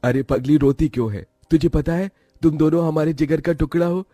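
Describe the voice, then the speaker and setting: A man's voice: on the high side, a little fast, dark tone, no room sound, faint music behind, lightly acted. A man playing a protective, self-sacrificing poor young brother in a cartoon story, earnest and emotional. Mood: tender, resolute.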